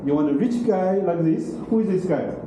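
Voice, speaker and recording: A man's voice: sits high, animated, moderate pace, dark tone. A middle-aged man giving a university lecture in broken English, checking in often with "okay?" and "right?". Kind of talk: classroom lecture. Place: large classroom. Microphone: far room camera. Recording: very echoey classroom from far off, noisy.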